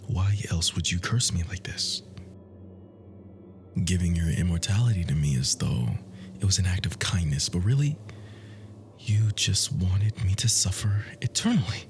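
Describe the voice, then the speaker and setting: A man playing a bitter, obsessive immortal monster spurned by a lover, in a breathy, theatrical ASMR roleplay monologue. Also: low-pitched. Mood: bitter grief. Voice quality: breathy, hushed, whispery. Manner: slow, menacing, theatrical, intimate.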